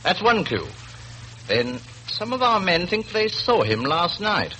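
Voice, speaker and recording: Casting: businesslike detective inspector, courteous, animated delivery, old radio drama with background hiss. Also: male voice, sits high, a little slow, full character voice. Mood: earnest. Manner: courteous, formal, crisp. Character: polite, businesslike Scotland Yard police inspector. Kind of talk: 1940s radio drama acting. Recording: noisy 1940s radio recording.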